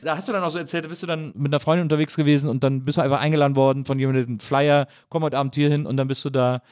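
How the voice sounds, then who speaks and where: A man's voice: a little high, fairly even intonation, rapid-fire, darkish tone, no room sound. A man hosting a podcast, talking casually and feeling his way through phrases with repeated words. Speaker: podcast host. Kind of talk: casual conversational interview.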